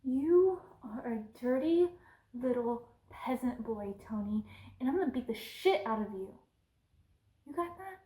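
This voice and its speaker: A woman's voice: on the high side, lively intonation, measured pace, dark tone, echoey room, low-quality recording. A woman playing a cruel, haughty evil queen in an ASMR roleplay, imperious and scornful, sometimes slipping into whispers. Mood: scornful, threatening.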